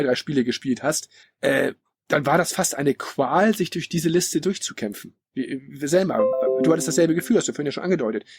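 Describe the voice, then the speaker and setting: Higher-voiced man with a slightly husky tone. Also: dry recording, studio quality. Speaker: podcast host. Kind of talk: casual retro gaming podcast talk.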